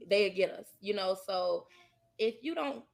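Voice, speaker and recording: Halting American woman, casual podcast chat. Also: medium pitch, animated, creaky. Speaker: podcast co-host.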